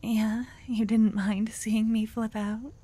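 ASMR roleplay: woman as a young possessive girlfriend, doting. Warm, husky whisper, unhurried. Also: mid-range voice, heavily acted. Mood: tender whisper. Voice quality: soft breathy whisper. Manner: slow, intimate and doting.

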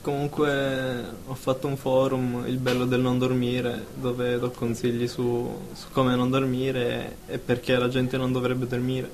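A man's voice: mid-range voice, monotone, unhurried, few pauses, darkish tone, close to an everyday voice. A man acting an anxious therapy patient terrified of sleep in a radio drama, hesitant and rambling as he complains.

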